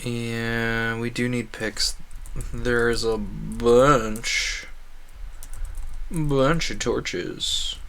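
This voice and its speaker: A man's voice: medium pitch, steady tone, drawn-out words, a bit bright. A man chatting casually as he streams a video game, musing aloud and often rephrasing himself mid-thought. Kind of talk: casual rambling stream commentary over gameplay.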